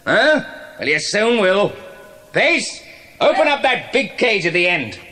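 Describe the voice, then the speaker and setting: High-voiced, menacing English crime boss. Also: male voice, sing-song, measured pace, sharp tone, soft background music, full character voice. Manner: commanding, threatening. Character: menacing, bossy criminal gang boss, a grown man. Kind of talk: audio drama acting. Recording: clearly noisy recording.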